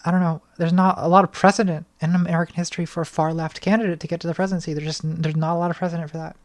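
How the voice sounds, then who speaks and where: A young woman answering patron questions on a casual livestream from her bedroom, thoughtful and hesitant, with a slightly hoarse voice, speaking into a studio mic. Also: on the low side, level tone, very fast. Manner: thoughtful, hesitant, many restarts and ums. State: voice getting hoarse. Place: small bedroom lit purple. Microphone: studio mic on arm, front of frame.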